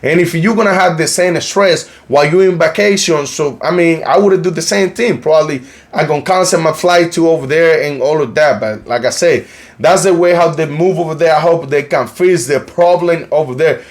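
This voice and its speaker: Colombian Spanish-accented man, fairly high voice. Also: moderate pace. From Cartagena, Colombia. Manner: rambling, heavy use of "you know, like", frequent restarts. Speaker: YouTube news channel host. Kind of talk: casual commentary vlog.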